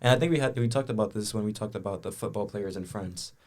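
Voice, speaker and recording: A young man from Angola speaks earnestly in a low voice. He explains his point at length in a casual podcast chat and often restarts sentences. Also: fast talker, darkish tone. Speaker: podcast co-host. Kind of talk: casual conversation.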